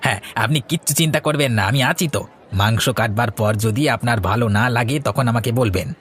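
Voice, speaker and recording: Fast-talking earnest village working man, acted. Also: medium pitch, a bit bright, slight background noise, close to an everyday voice. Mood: earnest, reassuring. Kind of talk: Bengali cartoon dialogue. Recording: light music behind the voice.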